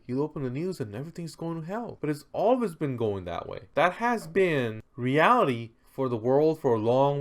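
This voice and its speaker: A man presenting an unscripted, conversational explainer, speaking emphatically with rhetorical questions and repeated phrases for stress. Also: mid-range voice. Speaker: presenter explaining history and philosophy.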